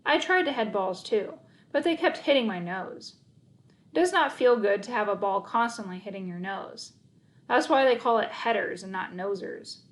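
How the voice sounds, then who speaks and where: A woman narrating a children's book aloud in the first person, reading expressively. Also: medium pitch.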